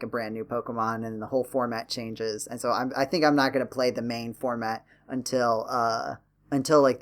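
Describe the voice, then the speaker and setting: Man, podcast host, mid-pitched voice, casual gaming chat, wry, rambling, self-clarifying. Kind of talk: casual video game chat.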